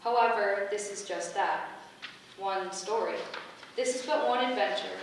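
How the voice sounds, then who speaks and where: A woman's voice: medium pitch, fairly bright tone, natural voice. Woman acting as motherly goddess, grand and solemn, stately delivery, very echoey room, distant mic. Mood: solemn, uplifting. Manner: formal, stately, ceremonial oratory. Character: benevolent motherly female goddess, grand and solemn, gathering her heralds. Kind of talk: staged play reading. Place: echoey indoor venue at a staged reading. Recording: very echoey room from far off, faint noise.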